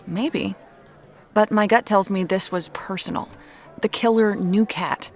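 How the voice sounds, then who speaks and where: A woman's voice: medium pitch, fairly even intonation, unhurried, faint music behind, natural voice. A woman playing a determined, dryly frank amateur detective in a naturalistic audio drama. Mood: thoughtful, probing. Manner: dry, frank, stubborn. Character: determined amateur woman detective hunting her sister's killer.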